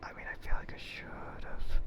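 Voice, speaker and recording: A man rambling casually and hesitantly in a soft, breathy ASMR whisper, as a streamer chatting close to the mic. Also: moderate pace.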